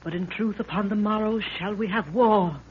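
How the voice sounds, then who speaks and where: A woman's voice: mid-range voice, moderate pace, breathy edge. An actress playing a proud, solemn queen of a lost people in a 1930s radio adventure, with archaic, declamatory speech. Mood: solemn, urgent. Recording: dull, noisy 1930s radio recording.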